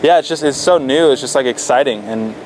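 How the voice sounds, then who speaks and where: A teenage man answering interview questions in a relaxed, casual way, with frequent fillers and restarts. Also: medium pitch, brisk, a little hiss or hum, rough recording. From Florida. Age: teens. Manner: laid-back, lots of fillers and restarts. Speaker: stand-up paddle athlete.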